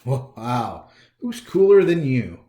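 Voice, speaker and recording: Animated American man reading to kids. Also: mid-range voice, takes it slowly. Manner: animated, theatrical, talks directly to young listeners. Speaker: library storytime host reading aloud. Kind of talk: children's picture book read-aloud. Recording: roomy, echoey indoor sound.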